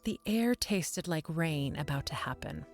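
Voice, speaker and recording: Low-voiced woman narrating fantasy. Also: lively intonation, unhurried, faint music behind. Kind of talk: scripted fantasy story narration.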